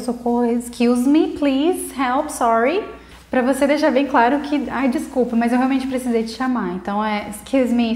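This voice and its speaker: A young woman with a Brazilian accent giving an informal language lesson to camera, conversational and stressing her example phrases. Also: a little high. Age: young adult. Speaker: English teacher, video host. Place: living room at home, indoors. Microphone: lapel mic clipped to top. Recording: roomy indoor echo.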